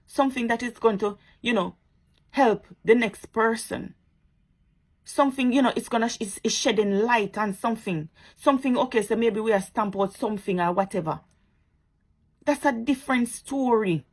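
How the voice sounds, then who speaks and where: Husky Jamaican woman preaching to viewers. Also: a little high. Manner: preachy, repetitive and sarcastic. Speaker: social media commentator. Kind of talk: impassioned commentary to viewers.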